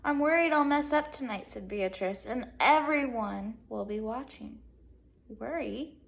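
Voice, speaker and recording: A woman teacher reading a children's picture book aloud in an expressive way, with casual asides to young pupils. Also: on the high side, unhurried, gravelly. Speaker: second grade teacher.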